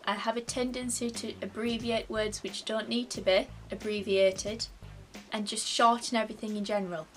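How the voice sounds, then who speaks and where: A woman's voice: on the high side, flat delivery, normal speed, faint music behind. A young woman in her twenties with a Yorkshire accent, chatting to camera in a playful, self-mocking way from her bedroom. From Yorkshire, England. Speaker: vlogger. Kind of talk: casual comic chat to camera. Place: bedroom, indoors.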